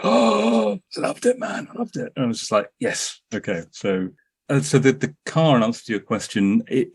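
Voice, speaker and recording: A man telling anecdotes as a filmmaker guest in a podcast interview, often restarting his sentences. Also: deep voice.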